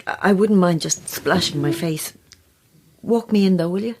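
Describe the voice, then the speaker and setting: An actress playing an uneasy, tipsy, sceptical writer in naturalistic audio drama dialogue. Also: female voice, fairly low pitch, moderate pace, darkish tone, a little hiss or hum, natural voice. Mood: flustered.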